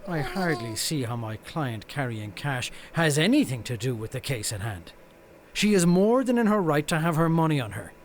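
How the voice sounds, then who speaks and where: A man's voice: on the high side, very animated, normal speed, roomy sound, slight background noise. A man playing an earnest, protective defense attorney in an audio drama. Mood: protective, firm. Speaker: actor playing a defense lawyer. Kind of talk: dramatic courtroom monologue and dialogue.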